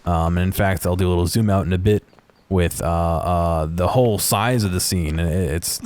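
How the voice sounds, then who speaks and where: A man giving casual, unscripted video game commentary in a halting, hesitant way. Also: sits low, a little mellow. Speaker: gaming video creator. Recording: voice-over with game music behind.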